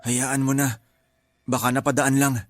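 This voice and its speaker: A man's voice: mid-range voice, level tone, normal speed, over music, natural voice. An actor playing a cautious, watchful young man who sees ghosts in a Filipino radio drama, explaining things warily. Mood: puzzled.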